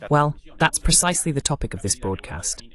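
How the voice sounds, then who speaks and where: A man hosting a political talk show, speaking in a formal, measured announcer style as he introduces and moderates. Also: a little high, big pitch swings, a bit bright. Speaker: host. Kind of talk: scripted introduction and moderating questions, translated voice-over.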